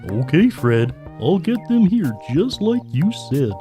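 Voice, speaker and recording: Man voicing a slow, mellow talking bull. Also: a little high, sing-song, faint music behind. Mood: anxious, eager. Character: earnest, grieving talking bull seeking his wife's return. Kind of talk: audio horror-comedy acting.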